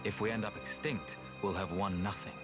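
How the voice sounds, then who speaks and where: Low-voiced, thoughtful researcher man acting. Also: fairly even intonation, natural voice. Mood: grave. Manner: unhurried, thoughtful, plain-spoken. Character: calm, reasonable male researcher, grave and weary. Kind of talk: video game cutscene acting. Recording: game music behind the voice.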